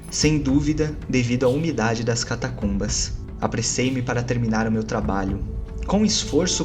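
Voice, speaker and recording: A man narrating a gothic horror story in a measured, theatrical, solemn manner. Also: mid-range voice. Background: faint background music.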